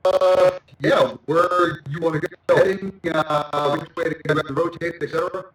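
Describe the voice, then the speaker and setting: A man's voice: fairly high pitch, steady tone, unhurried, a bit bright. A man chatting casually and a bit hesitantly as a pilot over game radio. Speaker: amateur pilot in online flight simulator. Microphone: online voice chat. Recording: roomy, distant voice-chat mic.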